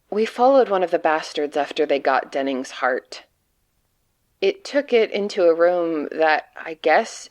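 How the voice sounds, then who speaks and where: Actress doing scared woman agent in a horror audio drama, slow n halting, bitter and confessional, pitch moves around a lot. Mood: grim, haunted. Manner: halting, confessional, grimly sardonic. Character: frightened, despairing woman agent trapped among monsters. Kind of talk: dramatic monologue reading of a written report.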